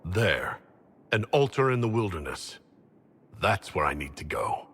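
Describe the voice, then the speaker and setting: Game hero lead: resolute army leader, earnest, slightly low and crisp-edged. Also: male voice, big pitch swings, unhurried, fairly bright tone, in a large hall, full character voice. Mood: determined. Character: determined male fantasy hero, leader of an army. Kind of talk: video game voice acting.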